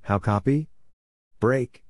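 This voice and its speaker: A man's voice: mid-range voice, slow, darkish tone, no echo. A man reading out short scripted practice phrases in a clipped, announcer-like manner. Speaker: Morse code practice announcer.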